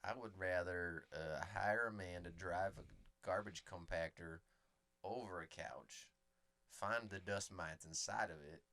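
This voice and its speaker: A man co-hosting a comedy podcast, rambling through absurd improvised bits in a deadpan way. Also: low-pitched, measured pace, no room sound. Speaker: podcast co-host.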